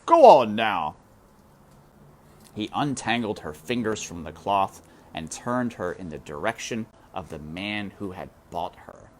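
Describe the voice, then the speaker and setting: A man reading a children's novel aloud, dramatic and deliberate, turning to talk directly to his listeners. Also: low voice, fairly bright tone, slight background noise. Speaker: reader.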